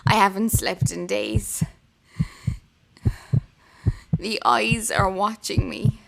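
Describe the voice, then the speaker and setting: An Irish actress playing an unhinged, haunted young woman confiding in her diary in a horror audio drama, halting and often slipping into whispers. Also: medium pitch, fairly even intonation, a bit bright, low-quality recording. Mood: haunted, intense. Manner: slow, halting, breathy whispers breaking into intensity.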